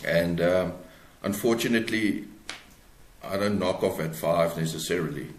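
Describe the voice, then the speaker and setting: A man with a South African accent giving reflective, halting answers as a guest in a conversational interview. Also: sits low. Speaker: author, podcast guest. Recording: echoey room sound.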